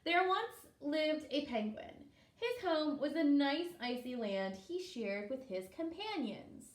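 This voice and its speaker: A woman preschool teacher reading a story aloud to young children, expressively, pausing to explain and ask simple questions. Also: high voice, very animated. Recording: echoey room.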